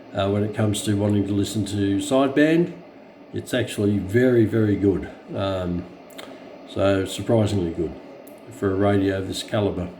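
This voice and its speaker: An Australian man with a fairly low voice talks casually through a hands-on demonstration. He is hesitant, pausing often as he finds his words. There is some light background noise in the room. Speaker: radio hobbyist presenter. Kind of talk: casual unscripted product walkthrough. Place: indoors.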